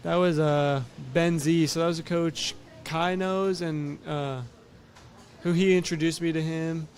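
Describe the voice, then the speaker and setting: A man's voice: fairly high pitch, fairly even intonation, a little slow, a little mellow, soft background music. Young male guest from California, light voice, humble and easygoing, trails off with yeahs. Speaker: amateur MMA fighter, guest. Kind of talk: casual podcast interview.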